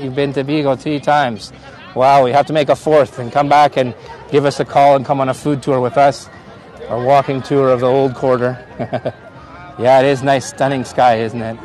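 A man's voice: medium pitch, a little mellow. A middle-aged man from Canada with a Canadian accent, a tour guide chatting casually on a live stream outdoors, laughing often and greeting viewers by name. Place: outdoor hilltop park. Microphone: phone on gimbal at arm's length.

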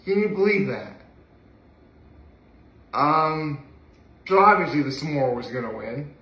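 A man's voice: on the high side, animated, slow, fairly bright tone. A young man chatting casually and enthusiastically to camera in a rambling, conversational way, recorded in a living room from a few feet away. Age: young adult. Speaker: vlogger. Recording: echoey room, mic at a distance.

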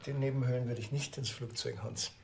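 A man's voice: mid-range voice, steady tone, brisk, creaky, darkish tone, a little hiss or hum, lightly acted. A man, playing a sardonic, laid-back village cop in a TV crime comedy who makes dry, mocking remarks.

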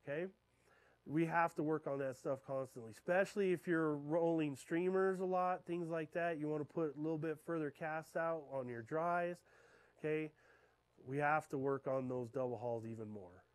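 A man in his thirties, a fishing guide, giving a talk in a darkened lecture room and checking in with his listeners with a steady "okay?". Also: fairly high pitch, moderate pace, no room sound. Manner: keeps checking in with the audience with "okay?". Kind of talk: classroom talk to an audience. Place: dark lecture room beside a projector screen.

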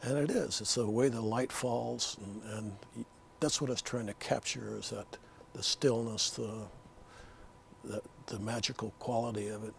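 An older man from Colorado, a landscape artist, reminiscing in an interview in his art studio, with rambling, halting speech full of fillers and restarts. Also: medium pitch, fairly even intonation, vocal fry, slight background noise. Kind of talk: reflective interview talk.

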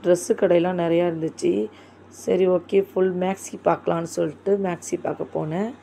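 A woman's voice: lowish voice, little pitch movement, brisk, gravelly, dark tone, a little hiss or hum. Chatty woman narrating a vlog. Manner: chatty, enthusiastic, repeats "super super". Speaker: vlogger. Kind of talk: casual shopping vlog narration.

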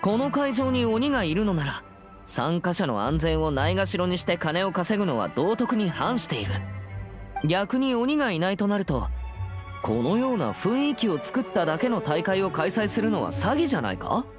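Stern earnest anime teen boy, formal talk, lively pitch, bit of music under. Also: normal speed. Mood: indignant, stern. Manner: serious, formal, principled. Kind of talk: anime game voice acting.

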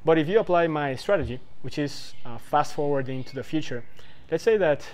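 A man's voice: a little high, animated, brisk, a little mellow. Rambling man in his twenties. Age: 20s. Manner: rambling, loose, full of "like" and "right?". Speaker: vlogger giving life advice. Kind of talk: casual talk to camera.

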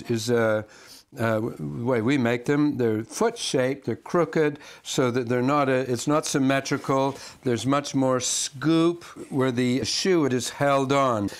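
An older man who carves shoe lasts, explaining his work calmly and step by step with frequent restarts while he cuts wood at a band saw in his workshop. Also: mid-range voice. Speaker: shoemaker and last carver. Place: workshop, indoors, next to a running band saw. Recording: clear power tool noise behind the voice.

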